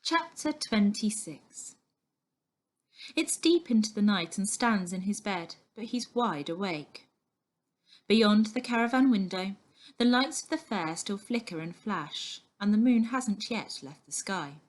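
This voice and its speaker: Slow, expressive woman storyteller. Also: medium pitch. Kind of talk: expressive scripted narration of a children's novel.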